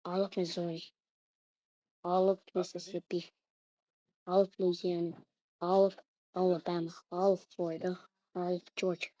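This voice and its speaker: Woman, mock emergency announcer, slightly low voice, halting delivery, very dry close sound, muffled lo-fi recording. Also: flat delivery, mellow, dry recording. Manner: halting, with repeated phrases.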